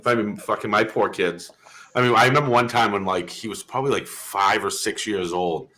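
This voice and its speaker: A man in his thirties from Massachusetts, telling profane, animated stories in a casual chat and acting out the quotes. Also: on the low side, brisk, low-quality recording.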